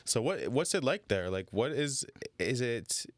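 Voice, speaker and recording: A man's voice: mid-range voice, sing-song, unhurried, no room sound. A man with a Montreal English accent co-hosting a podcast, asking questions in a casual, joking, self-deprecating way. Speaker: podcast co-host. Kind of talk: casual interview chat.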